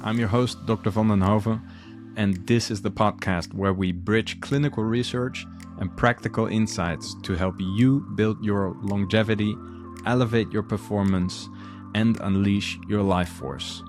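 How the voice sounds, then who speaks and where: A man's voice: on the low side, steady tone, measured pace, over music. A man with a Dutch accent hosting his own podcast, talking earnestly and steadily with frequent ums and uhs. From Netherlands. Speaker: podcast host, physician. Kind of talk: solo podcast monologue.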